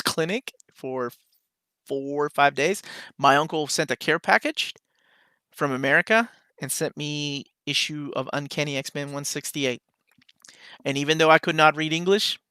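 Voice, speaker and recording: A man from Peru hosts a casual live chat from home, reading out questions and answering with easy enthusiasm. His voice is bright and forward, pitched a little high for a man. The sound is dry and close, with almost no room behind it. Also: no room sound. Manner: relaxed and chatty, reads viewer questions aloud and answers with enthusiasm. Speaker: comics channel host.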